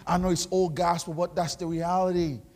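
A Haitian man with a Haitian French accent, a pastor preaching a sermon, rising into loud shouted exhortations. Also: sits high, little pitch movement, brisk, loud music behind the voice. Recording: long hall reverb.

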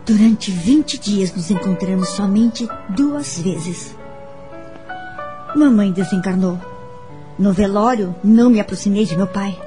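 A woman playing a gentle, wounded but forgiving adult daughter who recounts her family past in a radio drama, measured and heartfelt. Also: mid-range voice, lightly acted. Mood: sorrowful, reflective. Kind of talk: dramatised first-person narration, radio drama. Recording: background music under the voice.

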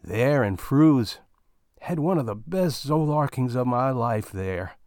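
A crude, chatty space miner with a leering, ungrammatical drawl, as a man reading the story puts him on. His voice sits noticeably higher and louder than the reader's own, and the recording is close and dry. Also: animated, slow, no room sound. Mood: griping. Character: crude talkative middle-aged male space miner.

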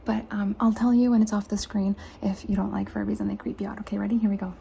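A woman whispering softly through an ASMR roleplay, asking slow, repeated questions close to the camera mic. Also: mid-range voice, level tone, vocal fry, slight background noise. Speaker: ASMR creator.

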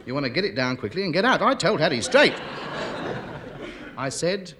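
A man reads out lines from an old radio comedy script, acting them out with big swings in his pitch. The recording carries a clear layer of background noise. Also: sing-song, normal speed. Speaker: podcast co-host.

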